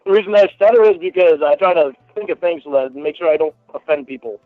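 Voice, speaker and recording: A man with a stutter talking casually in a halting, defensive way. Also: fairly high pitch, no room sound. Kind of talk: casual phone conversation. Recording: tinny phone-like line, music behind.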